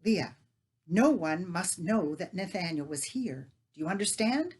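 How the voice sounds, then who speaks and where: A woman's voice: fairly low pitch, expressive, measured pace, darkish tone, slightly rough. Woman voicing a stern male baker. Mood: grave. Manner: grave, firm. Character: stern serious middle-aged male baker.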